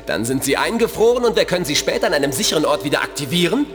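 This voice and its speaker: A man playing a brisk, commanding antivirus program who leads his team with urgent, clipped orders in a children's audio drama. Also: on the high side, big pitch swings, fairly bright tone, big-room sound. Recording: music underneath.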